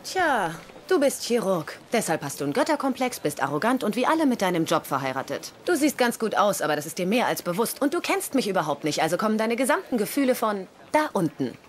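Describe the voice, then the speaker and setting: An actress playing a frank, self-assured nurse in a German-dubbed TV comedy-drama. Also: female voice, mid-range voice, big pitch swings, fast, few pauses, slight background noise, natural voice. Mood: frank, firm.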